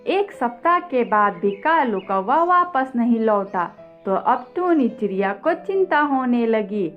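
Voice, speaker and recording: A woman's voice: fairly high pitch, big pitch swings, slow, highs cut off, faint music behind. A woman narrating a scripted children's story as a storyteller.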